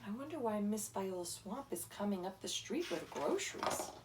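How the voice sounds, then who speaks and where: A woman reading a children's story aloud in an expressive, instructive way, pausing to ask the listener questions. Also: medium pitch, far from the mic, a little hiss or hum. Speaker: story reader, teacher.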